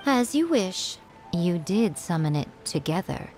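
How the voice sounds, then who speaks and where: A woman's voice: on the low side, sing-song, a little slow, a little mellow, faint music behind. A woman voicing a sly, teasing, haughty priestess in a video game, drawling with amused mock sympathy.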